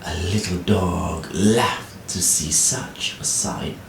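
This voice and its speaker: A woman reading a children's story expressively as a teacher, with deliberate phrasing and dramatic pauses. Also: very deep voice, vocal fry. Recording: roomy, distant, faint noise.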